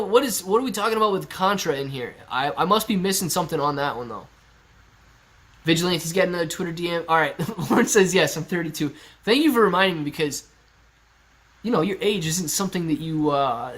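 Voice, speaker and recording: Male, 30s, slightly high voice; laid-back live-stream host reading chat from home. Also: lively intonation, quick pace, sharp tone. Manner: laid-back and chatty, laughs often, reads chat aloud. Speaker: stock livestream host. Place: sitting in his living room. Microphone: laptop on a kitchen stool at eye level.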